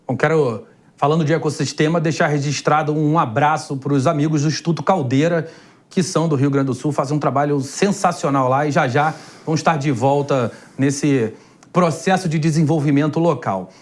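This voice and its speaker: A man hosting a Brazilian TV interview program, putting measured, formal questions to his guest. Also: on the high side. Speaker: TV program host.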